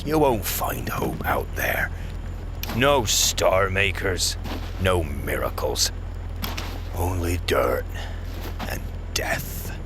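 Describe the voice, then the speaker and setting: An actor playing a cynical, grizzled older smuggler in an audio drama, sardonic and fatalistic, with a gravelly voice. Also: male voice, sits low, animated, very slow, pauses often, heavily acted. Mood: scornful, bleak. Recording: loud ambient noise behind.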